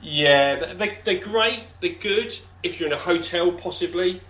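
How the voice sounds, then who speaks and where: Rambling man with a deep voice. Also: a little slow, pushed and bright, muffled recording. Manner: rambling, many fillers and restarts. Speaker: podcast guest. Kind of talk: casual interview chat.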